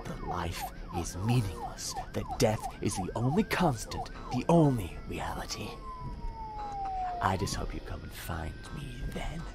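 A man's voice: mid-range voice, huge pitch swings, gravelly, heavily acted. An actor playing a sinister, self-righteous serial killer in an audio drama, taunting and theatrical as he philosophizes. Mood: ominous, philosophical. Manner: theatrical, deliberate, taunting menace with whispered dips. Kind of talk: audio drama villain monologue.

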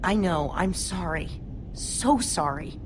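A woman's voice: lowish voice, expressive, slow pace, background noise. An actress playing a nervous, admiring young female apprentice in a video game, eager and apologetic. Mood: sheepish. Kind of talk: animated video game acting.

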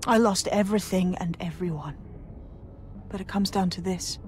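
A woman's voice: on the low side, normal speed, a little mellow, natural voice. A woman playing a battle-weary, determined resistance leader in a video game, earnest and grave. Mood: urgent, resolute. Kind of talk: video game character acting.

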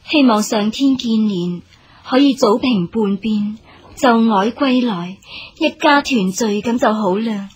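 High, gentle, dutiful wife. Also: female voice, unhurried, breathy edge. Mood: gentle, supportive. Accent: Hong Kong Cantonese. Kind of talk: Cantonese period radio drama acting.

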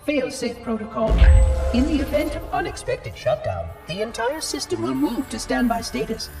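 An actor playing a prim, officious floating robot caretaker in a video game, with formal and pedantic phrasing. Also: male voice, very high-pitched, huge pitch swings, normal speed, recorded from a distance, music in the background, full character voice. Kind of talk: video game cutscene acting.

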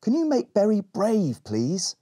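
A man voicing a bold, bossy but encouraging young elf girl from a storybook, brisk and urging. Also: high voice, huge pitch swings, mellow, breathy edge, dry recording, clean studio sound, pitched above the performer's own voice, full character voice. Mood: exasperated.

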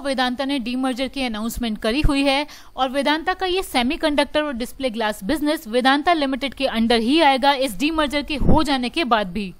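A woman presenting stock market news as a voice-over, explaining each update in a steady way and mixing English terms into her speech. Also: high voice, clear, resonant tone. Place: voice-over over screen recording.

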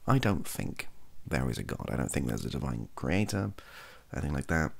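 Young British male, soft-spoken ASMR chat; husky, lively intonation, hesitant and informal. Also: a bass voice, normal speed. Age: young adult. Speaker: content creator. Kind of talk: softly spoken ASMR-style casual chat to camera. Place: small cluttered bedroom, indoors.

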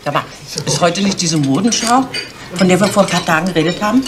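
A woman's voice: lowish voice, animated, fast, natural voice. An actress playing a chatty, genteel older lady on holiday in naturalistic film dialogue. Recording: clinking dishes, noisy room.

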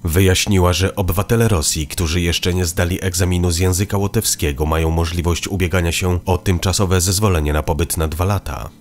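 A man narrates the news in a calm, measured studio read. His voice sits very low and deep. Soft music plays faintly underneath. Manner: even, measured reading. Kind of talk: scripted news voice-over. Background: faint background music.

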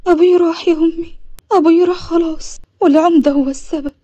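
A woman's voice: sits high, steady tone, very fast, dark tone, no room sound, dull audio, natural voice. A woman voicing an emotional young village daughter with an Upper Egyptian accent, urgent and pleading, in an audio story. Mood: tearful panic.

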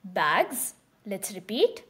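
A woman hosting her own channel, giving a scripted beginner lesson with calm, clear prompts. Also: medium pitch, drawn-out words. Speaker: language teacher and channel host.

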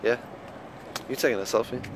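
A man's voice: mid-range voice, fairly even intonation, a little fast, noisy background. A young adult man vlogging casually into a handheld phone, chatting in a playful, teasing way. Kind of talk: casual chat to camera. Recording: loud music behind the voice.

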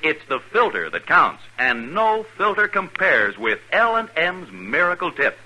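A man's voice: on the high side, very animated, measured pace, bright, forceful tone, low-quality recording. A man reading a 1950s radio advertisement as a commercial announcer.

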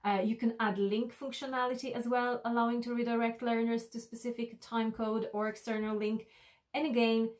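A woman in her thirties presenting an instructional tutorial to camera, explaining step by step in a deliberate, slightly hesitant way. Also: fairly high pitch. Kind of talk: instructional screencast walk-through to camera.

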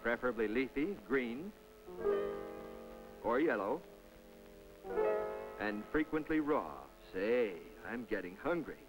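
A man's voice: medium pitch, expressive, takes it slowly, pauses often, low-quality recording. A man narrating a public information film in a scripted, chatty voice-over with folksy asides to the viewer. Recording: old film soundtrack with faint music.

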